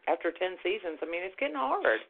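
A man's voice: sits high, big pitch swings, fast, vocal fry. A man co-hosting a radio talk show, stuffy with a cold, wry and laughing. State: stuffy, congested. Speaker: co-host. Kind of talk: casual radio banter. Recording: phone-like lo-fi line.

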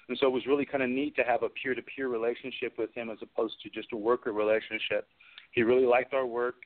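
A middle-aged man, a guest craftsman, telling chatty anecdotes in an interview and acting out bits of conversation as he goes. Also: mid-range voice. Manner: chatty, recounts conversations in quoted dialogue. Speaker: guest, special effects craftsman. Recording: tinny phone-line radio interview.

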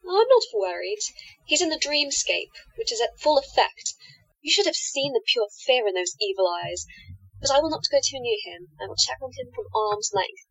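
A woman's voice: sits high, lively intonation, moderate pace, fairly bright tone, husky, lo-fi sound, full character voice. A woman playing a confident, affectionate otherworldly woman with hypnotic powers in an audio drama, commanding yet doting.

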